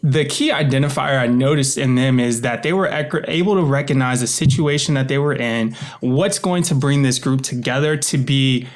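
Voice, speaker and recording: A man's voice: mid-range voice, moderate pace, few pauses. A man speaking as an interview guest in an earnest, reflective way, often voicing hypothetical quotes as he explains himself. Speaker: interview guest, author and voice actor.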